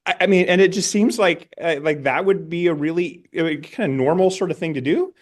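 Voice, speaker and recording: A man's voice: a little high, a little fast. A middle-aged man interviewing conversationally, feeling his way toward questions with hesitant, roundabout phrasing. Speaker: interviewer, educator.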